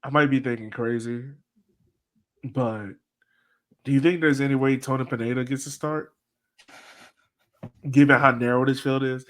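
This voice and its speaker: Mid-pitched man in casual soccer banter. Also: steady tone, moderate pace, pauses often, a bit bright. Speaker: podcast co-host.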